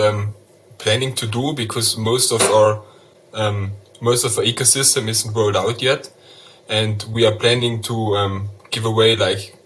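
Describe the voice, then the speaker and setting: A man's voice: lowish voice, fairly bright tone, low-quality recording. A man answering interview questions hesitantly, with many fillers, staying vague about plans. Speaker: NFT project founder.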